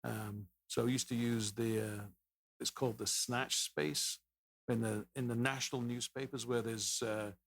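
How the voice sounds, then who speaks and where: A man's voice: medium pitch, fairly even intonation, a little slow, gravelly, no echo, studio quality. A man in his fifties with an English accent, a podcast guest telling stories in a loose, rambling way. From England. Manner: rambling, anecdotal, many restarts. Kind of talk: casual storytelling interview.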